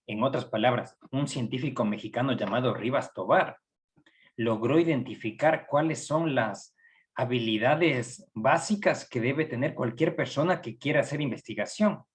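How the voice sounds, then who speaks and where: A middle-aged Ecuadorian man teaches a university training session, speaking in a measured, patient way. He pauses often and fills gaps with short hesitations. His voice sits at an ordinary middle pitch. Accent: Ecuadorian Spanish. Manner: measured, with frequent hesitations and fillers. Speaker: psychology professor giving a training session. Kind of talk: recorded online lecture.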